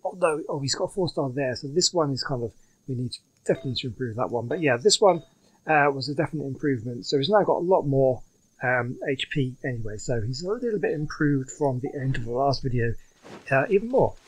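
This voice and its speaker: A man's voice: mid-range voice, animated, fast, husky, poor audio. A man hosting a gaming video with casual, rambling, hesitant commentary.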